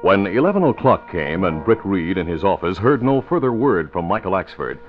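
A man's voice: low voice, very animated, moderate pace, over music. A man reading scripted lines as an old-time radio announcer and narrator. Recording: muffled, faintly noisy vintage radio recording.

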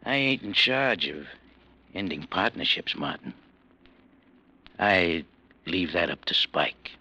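A man's voice: deep voice, sing-song, slow, pushed and bright, slightly rough, muffled recording, heavily acted. An actor playing a smug, menacing gangster in an old radio crime drama, with slangy tough-guy speech. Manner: slangy tough-guy drawl, veiled threats. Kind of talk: 1940s-50s radio crime drama acting.

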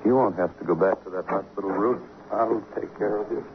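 An actor playing a distracted, tender yet curt husband accused of killing, in an old-time radio drama. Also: male voice, fairly low pitch, a little fast, natural voice. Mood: tender, detached. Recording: noisy, dull vintage radio recording.

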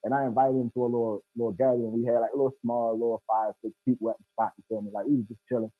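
A man's voice: mid-range voice, level tone, racing, no room sound. A man in casual chat, talking earnestly and emphatically, often saying bro. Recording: tinny phone-like sound, dry.

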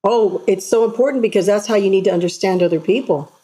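A woman guest in an interview, telling stories in an earnest, animated way. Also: medium pitch, fast, slightly rough. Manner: earnest, animated storytelling with acted-out voices. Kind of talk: casual interview chat.

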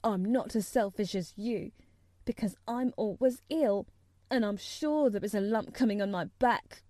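A woman's voice: medium pitch, a little slow, dark tone, dry recording, studio quality, lightly acted. A woman voicing a spoiled, self-pitying young invalid boy in a storybook, demanding and petulant. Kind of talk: audiobook character voice.

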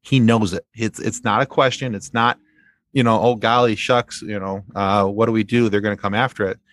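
A man as a podcast guest, chatting casually about fandom in halting phrases. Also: lowish voice, steady tone, fairly bright tone, dry recording. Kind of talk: casual fan discussion.

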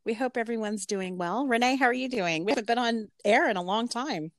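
A woman hosting a true crime podcast in a casual, measured way, her voice getting a little scratchy. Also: fairly high pitch, no room sound, clean studio sound. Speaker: podcast co-host.

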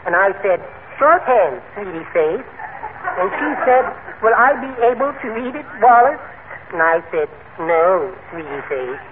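An actor playing a meek, henpecked little poet in a timid, prim, genteel manner, in 1940s radio comedy style. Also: male voice, sits high, very animated, a little slow. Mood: sweetly aggrieved. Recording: noisy, tinny old radio broadcast.

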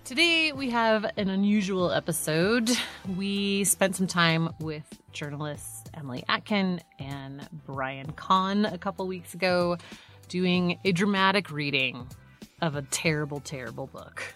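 A woman's voice: lowish voice, unhurried, fairly bright tone, soft background music. A woman co-hosting a podcast, talking casually in a loose, rambling way with trailing phrases. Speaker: podcast co-host. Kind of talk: casual conversational talk.

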